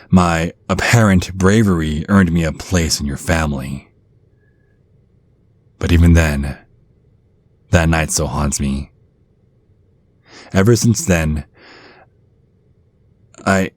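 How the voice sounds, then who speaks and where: A man's voice: deep voice, darkish tone. A man playing a grumpy, protective centuries-old vampire bodyguard in an intimate ASMR roleplay, speaking in an earnest, brooding way.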